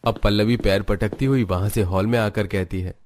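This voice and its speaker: A man reading scripted narration for an animated family story. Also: fairly low pitch, normal speed, a little hiss or hum.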